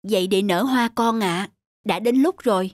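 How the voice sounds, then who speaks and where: A woman voicing a gentle snowdrop flower mother in a children's cartoon, with soft, nurturing, resigned delivery. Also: mid-range voice, moderate pace, natural voice. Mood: tender, encouraging.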